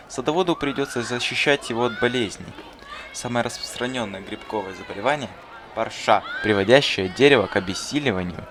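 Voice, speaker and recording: A man voicing a thoughtful, kindly schoolteacher in an animated film, explaining things in an earnest, didactic, bookish way. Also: on the low side, a little hiss or hum, close to an everyday voice. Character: thoughtful, kindly male schoolteacher who talks like a gardener. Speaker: teacher answering interview questions. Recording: voice over clear background music. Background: music.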